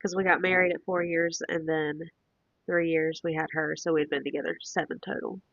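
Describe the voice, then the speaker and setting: Raspy low-voiced sick woman. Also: little pitch movement, moderate pace, fairly bright tone, no room sound, dull audio. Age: late 20s. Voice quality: deep and raspy. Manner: chatty, thinks aloud while working things out. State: sick, congested. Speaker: podcast co-host. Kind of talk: casual chat. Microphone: close podcast mic.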